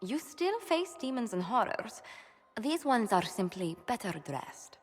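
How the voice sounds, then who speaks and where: A woman playing a refined, principled diplomat in a fantasy game, polite and expressive. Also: a little high, a little slow. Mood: teasing. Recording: long hall reverb, music behind.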